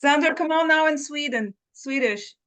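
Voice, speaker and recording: A woman's voice: sits high, normal speed, fairly bright tone. A woman in a casual group chat who talks hesitantly and laughs easily.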